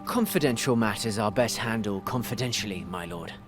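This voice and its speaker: A man's voice: on the low side, expressive, fairly quick, music in the background, natural voice. Man playing a discreet, formal adventurer envoy. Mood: guarded, polite. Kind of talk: fantasy video game voice acting.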